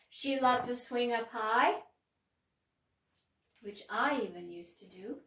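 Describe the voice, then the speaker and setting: Slow, gentle storytime reader in a roomy church room. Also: female voice, mid-range voice, far from the mic. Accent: Upper Midwest American. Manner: gentle, slow and expressive, pausing to explain pictures. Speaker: children's librarian hosting storytime. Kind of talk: reading a picture book aloud to children. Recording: roomy sound, mic set back.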